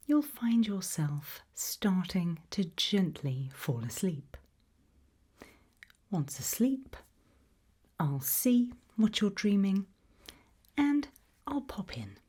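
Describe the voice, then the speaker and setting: A British woman speaks in a very breathy ASMR whisper, low and soft-toned. She plays a calm sleep clinic practitioner, taking her time with slow, gentle reassurance. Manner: gentle, soothing, unhurried reassurance.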